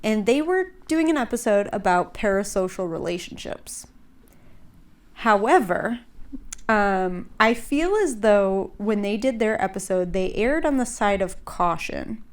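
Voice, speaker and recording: A woman's voice: mid-range voice, animated, a little slow. A young adult woman hosting a podcast in casual chat, a little congested, rambling with many fillers. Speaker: podcast host.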